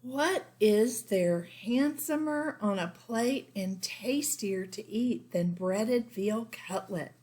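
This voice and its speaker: A woman voicing a genial, mild storybook father with a measured, gently questioning manner. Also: medium pitch, close to an everyday voice. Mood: mild, patient.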